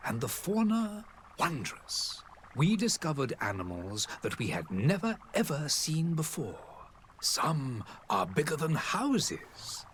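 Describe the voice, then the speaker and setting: Stately, animated ambassador. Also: male voice, a little high, a little hiss or hum. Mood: courteous, wondering. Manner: diplomatic, stately, eloquent. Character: dignified male ambassador, courteous, proud, measured. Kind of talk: formal scripted game dialogue.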